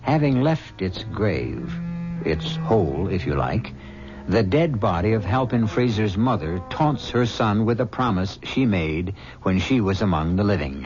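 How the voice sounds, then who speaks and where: Deep, slow-spoken male narrator. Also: expressive. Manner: measured, grave storytelling. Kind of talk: scripted radio narration. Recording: dull 1970s radio broadcast with music.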